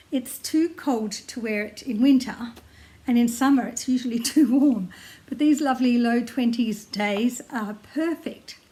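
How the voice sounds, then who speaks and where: An older Australian woman with a slightly croaky voice, chatting casually to camera from home about her knitting, with frequent ums and small laughs. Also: fairly high pitch, darkish tone. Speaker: knitting vlogger. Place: at home in her lounge room, seated. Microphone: tablet camera close by.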